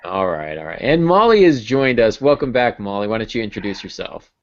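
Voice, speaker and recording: A man's voice: on the low side, sing-song, fairly quick. An American man in his thirties chatting casually as a podcast host, with a jokey, self-deprecating manner. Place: small home room on a video call. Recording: dull, compressed video call audio.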